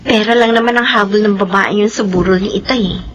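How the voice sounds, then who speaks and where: A woman's voice: medium pitch, steady tone, a little fast, fairly bright tone, a little hiss or hum, natural voice. An actress playing an earnest young woman in a radio drama, speaking with emotion and close to tears. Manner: emotional, tearful. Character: young woman, resentful daughter, tearful. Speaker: midwife character. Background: faint music.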